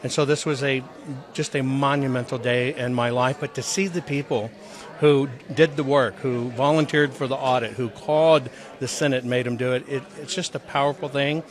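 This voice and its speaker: A man's voice: medium pitch, fairly even intonation, moderate pace. A man giving conversational interview answers, explaining things in an earnest, emphatic way. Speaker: interview guest, audit presenter.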